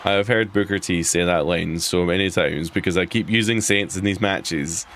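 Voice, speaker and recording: A man's voice: low-pitched, normal speed, fairly bright tone, faint music behind. A man with an Edinburgh Scottish accent chatting casually while playing a wrestling game, rambling and restarting his sentences. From Scotland. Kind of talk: casual live commentary on gameplay.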